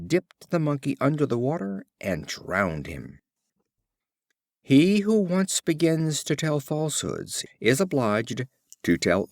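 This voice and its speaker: Expressive male fable narrator, lively pitch. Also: no echo, clean studio sound. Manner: expressive, deliberate storytelling delivery. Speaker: audiobook reader. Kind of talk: scripted storybook narration of fables.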